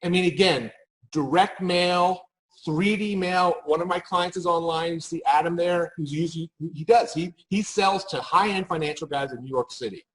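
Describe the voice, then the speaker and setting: A man's voice: a little high, moderate pace, low-quality recording. A man speaking off the cuff as a marketing expert in a panel conversation, emphatic and anecdotal, often restarting his phrases.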